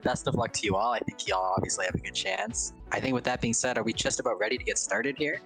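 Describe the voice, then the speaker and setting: A man's voice: mid-range voice, fairly bright tone, faint music behind, rough recording. A man casting a game tournament stream, joking and playful. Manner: joking, quick laughs, playful. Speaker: caster. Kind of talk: casual game stream chat.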